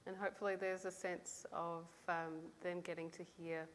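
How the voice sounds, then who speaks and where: A woman's voice: fairly low pitch, little pitch movement, normal speed, slight background noise. A woman moderating a stage panel, giving brief encouraging replies and taking questions from the audience.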